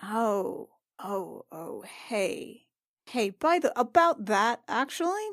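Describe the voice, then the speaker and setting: A woman's voice: mid-range voice, sing-song, no echo. Woman playing a frank, teasing adventurer, dry close sound. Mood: curious, indignant. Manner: casual, halting, swears freely. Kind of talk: improvised in-character tabletop roleplay dialogue.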